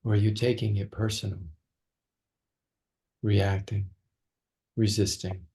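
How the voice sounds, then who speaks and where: A man leading a guided meditation as a teacher, speaking gently and slowly with long pauses between phrases. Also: on the low side, little pitch movement, gravelly.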